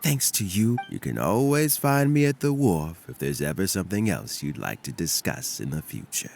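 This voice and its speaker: Older mentor, measured, lively pitch, game music behind. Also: male voice, creaky, exaggerated voice. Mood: advising. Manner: measured, advising. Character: wise older male official, calm mentor. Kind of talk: game character dialogue.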